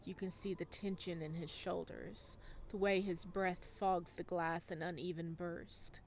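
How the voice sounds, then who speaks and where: Slow-paced woman reading a story game. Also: lowish voice, steady tone, darkish tone, slight background noise. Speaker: gaming video host. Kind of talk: playthrough, reading visual novel narration aloud with reactions.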